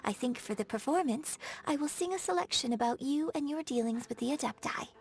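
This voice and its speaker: A woman's voice: high voice, lively intonation, a little fast. A woman voicing a courteous, refined young female opera performer in a video game, speaking formally with careful enunciation and a light, melodious voice. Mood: pleased, eager. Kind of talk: scripted game character dialogue.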